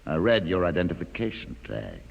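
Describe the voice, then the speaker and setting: Deep, gravelly mutant leader. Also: male voice, big pitch swings, heavily acted. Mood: grave, courteous. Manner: formal, measured, dryly ironic. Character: courteous, shrewd male mutant leader, proud and patient. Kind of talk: 1950s radio drama acting. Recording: dull 1950s radio recording, long reverb.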